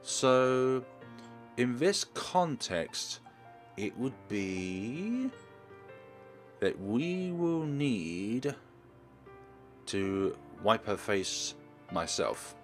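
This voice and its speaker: A man's voice: medium pitch, very animated, drawn-out words, pauses often. A man giving let's play commentary and guidance as a gaming YouTuber, with game music behind him.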